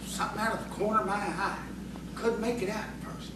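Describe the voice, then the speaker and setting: A man's voice: high voice, steady tone, fairly quick, lightly acted. A man playing a boastful, defensive frontier tavern drunk in an audio play, with a rural American accent and a folksy, insistent, rambling way of talking. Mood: earnest storytelling. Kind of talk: audio theatre acting. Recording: roomy, distant, noisy stage recording.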